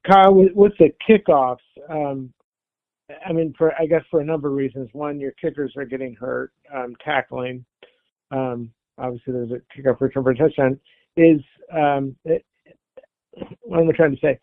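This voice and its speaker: A man's voice: medium pitch, steady tone, normal speed, dry recording. A man, a reporter, asking hesitant, rambling questions on a press conference call. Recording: dry phone-line audio.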